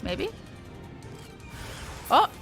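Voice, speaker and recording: A woman's voice: very slow, a bit bright, soft background music. A woman game streamer giving casual, playful and irreverent commentary on a playthrough.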